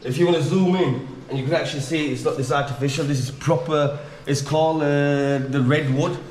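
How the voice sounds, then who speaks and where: Man, slightly high voice, rambling joking tour guide, light hiss behind. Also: fairly even intonation, fairly quick, darkish tone, roomy sound. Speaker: vlogger. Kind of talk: casual chat, touring a village house. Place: old family house in a village, indoors and on upper floor.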